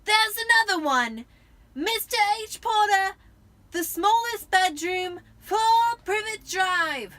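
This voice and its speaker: A woman voicing a spoiled boy who bawls and shouts demandingly in a storybook reading. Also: very high-pitched, animated, slow, no echo, pitched above the performer's own voice, exaggerated voice. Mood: shouting. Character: spoiled, bawling boy throwing tantrums. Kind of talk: dramatic amateur audiobook reading.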